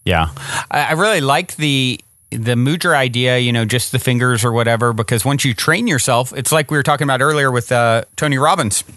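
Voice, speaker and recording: A man's voice: medium pitch, brisk, a bit bright, dry recording. A man in his forties hosting a podcast, chatting in an eager, casual way, full of fillers and restarts. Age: 40s. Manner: enthusiastic, with many fillers and restarts. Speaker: podcast host. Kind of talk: casual interview chat.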